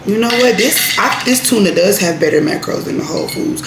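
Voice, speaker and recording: A woman's voice: mid-range voice, animated, a little fast. A young woman, chatting casually and laid-back in her vlog, laughing easily. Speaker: vlogger. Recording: echoey room with noise.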